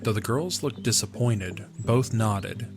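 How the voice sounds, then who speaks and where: A man's voice: lowish voice, a little slow. A man reading scripted narration for a fantasy audio drama. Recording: music under the voice. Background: music.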